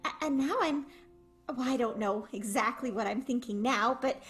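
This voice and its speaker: A woman playing a shy, lovestruck vaudeville performer in an improvised radio play, halting and stammering. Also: fairly high pitch, a bit bright, soft background music, close to an everyday voice. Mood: tender. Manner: hesitant, stammering.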